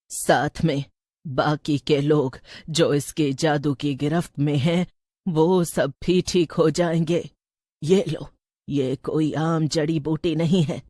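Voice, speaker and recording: A woman playing a wise older village woman, measured and cautioning, in an animated story. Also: deep voice, no room sound, studio quality. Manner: measured, advising. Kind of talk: animated Hindi story acting.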